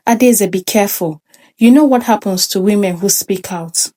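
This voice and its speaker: A woman's voice: mid-range voice, normal speed, mellow, close to an everyday voice. Woman voicing a playful, cautious teenage girl. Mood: nervous warning. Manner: playful, wary. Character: teasing but cautious teenage girl, best friend.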